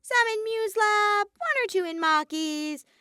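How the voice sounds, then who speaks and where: A woman's voice: extremely high pitch, expressive, unhurried, pushed and bright, no room sound, studio quality, voice pushed up, full character voice. A woman voicing a mischievous, sadistic male robot bear in an audio story, flippant and taunting. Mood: nonchalant, taunting. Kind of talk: fan fiction audio story character voice.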